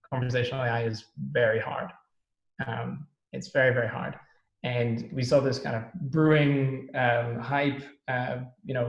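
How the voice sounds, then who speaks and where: A man's voice: mid-range voice, gravelly, muffled recording. A man from the Netherlands, a guest on a podcast, chatting casually and thinking aloud with frequent ums. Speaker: podcast guest, startup co-founder. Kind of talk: casual interview chat. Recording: roomy echoey sound.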